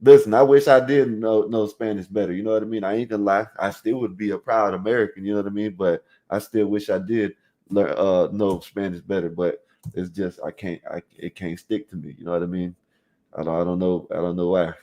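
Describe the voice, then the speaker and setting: A man with a very low, deep voice hosts a casual call-in stream. He reads the chat aloud and talks straight to viewers in loose slang, rambling and restarting his sentences. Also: steady tone, fairly quick, mellow. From Florida, US. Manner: loose street slang, frequent "you know what I mean" fillers. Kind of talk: casual chat reading out live chat.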